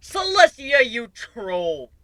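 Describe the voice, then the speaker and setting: A man's voice: very high voice, big pitch swings, slow, pushed and bright, no echo, pitched above the performer's own voice, heavily acted. A man voicing an exasperated, sarcastic griffin swordsman in a fan story reading, prone to panicky outbursts. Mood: outraged. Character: hot-headed, exasperated, melodramatic male griffin swordsman.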